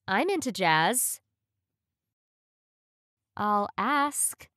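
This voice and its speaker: Slow, careful woman reading English phrases. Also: mid-range voice, big pitch swings, bright, forceful tone, no room sound, clean studio sound. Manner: clear, measured, each phrase read twice.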